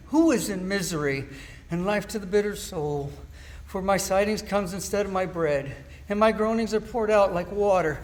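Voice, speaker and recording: A man playing an anguished, bitter suffering man in an amateur church Bible drama, reciting in a declamatory way. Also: high voice.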